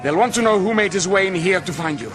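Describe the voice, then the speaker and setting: An actor playing a bold, protective young medieval adventurer in a radio drama, firm and instructing. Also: male voice, sits high, lively intonation, quick pace, over music. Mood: earnest, commanding.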